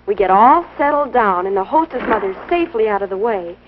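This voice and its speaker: A woman's voice: medium pitch, animated, fairly quick, background noise, lightly acted. A woman playing a sensible, earnest teenage girl in a 1950s instructional film, speaking carefully. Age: young adult. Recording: muffled old educational film soundtrack.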